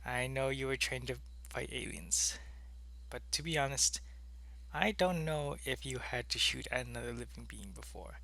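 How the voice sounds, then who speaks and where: A man playing a devoted alien soldier in an ASMR audio roleplay, with a gravelly, earnest and deliberate delivery. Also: mid-range voice, sharp tone, low-quality recording. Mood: stern, concerned. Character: devoted alien soldier, gruff in battle, tender with his lover.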